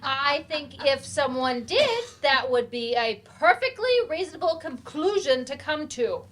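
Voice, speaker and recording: A woman's voice: high-pitched, big pitch swings, a little slow, a bit bright, full character voice. An actress in a live play reading, playing a blunt, wisecracking mother in an adult class, casual and sardonic. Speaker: actor in a staged play reading.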